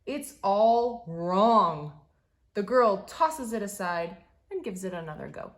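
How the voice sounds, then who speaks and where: A woman reading a children's picture book aloud in an expressive, deliberate way, stressing key words. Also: mid-range voice.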